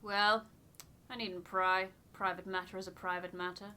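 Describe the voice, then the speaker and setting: A woman puts on an aloof, nosy expedition official for a game. The speech is stiff, formal and haughty. It has a bright, sharp edge. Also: medium pitch, little pitch movement, moderate pace, smooth, lightly acted. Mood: curious, prim.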